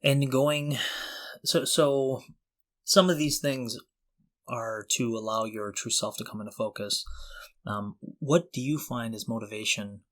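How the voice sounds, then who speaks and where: Guy cohosting a podcast, mid pitch voice, just chatting, earnest and kinda enthusiastic. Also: a little slow, fairly bright tone. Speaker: podcast co-host. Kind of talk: casual conversation.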